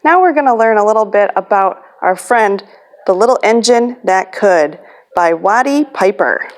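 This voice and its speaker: A woman's voice: mid-range voice, animated, moderate pace. A woman hosting a children's storytime as a librarian, reading a picture book aloud.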